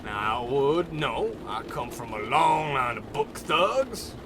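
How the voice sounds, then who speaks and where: A man's voice: medium pitch, sing-song, slow pace, noisy background. Man playing a swooping, blustery goon boss. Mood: cocky, chatty. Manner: wisecracking, blustery, folksy tough-guy phrasing. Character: blustering, cocky male hired goon boss. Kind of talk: old-time radio comedy acting.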